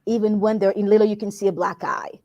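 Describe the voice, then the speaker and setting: Guest: woman from Congo, warm dark tone, earnest and reflective, restarts phrases. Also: medium pitch, level tone, fast talker. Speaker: guest, workplace wellbeing consultant. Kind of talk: conversational interview answer.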